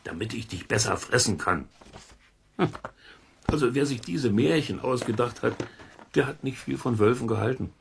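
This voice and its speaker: A man's voice: medium pitch, moderate pace, gravelly, long pauses, close to an everyday voice. An actor playing a folksy, curious man who muses and chats to listeners in a children's audio drama. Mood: musing, amused. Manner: musing, chuckling, colloquial, talks to listeners. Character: folksy, curious amateur investigator, chatty middle-aged man. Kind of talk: German children's audio drama, chatty explaining.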